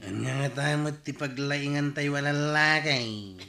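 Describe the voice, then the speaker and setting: A man's voice: a little high, a little slow, creaky, pushed and bright, exaggerated voice. A man playing a teasing tricycle driver and drinking buddy in an Ilocano radio comedy, with an Ilocano Filipino accent.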